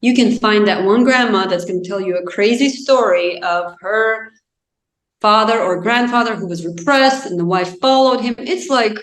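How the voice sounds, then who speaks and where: A woman's voice: mid-range voice, normal speed. A woman, speaking English as a later language as an interview guest. She thinks aloud in a reflective way, using plenty of "you know" and "like" as she goes. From Azerbaijan. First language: Azerbaijani. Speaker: guest author. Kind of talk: casual interview chat. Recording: roomy echo.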